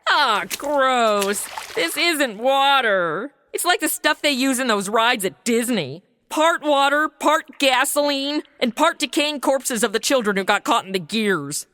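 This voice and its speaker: An actress playing a flippant, sarcastic young woman from today in a comedy audio drama, with snarky deadpan quips. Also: high-pitched, unhurried, hardly any pauses, full character voice.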